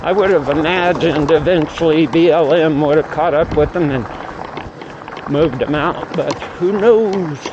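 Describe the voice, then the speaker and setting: A man's voice: on the high side, measured pace. A middle-aged man chatting casually outdoors as he vlogs, rambling and musing aloud to himself. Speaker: vlogger and amateur radio operator. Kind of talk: casual outdoor vlog chat. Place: outdoors on a mountain bike trail. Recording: muffled outdoor audio with clear background noise.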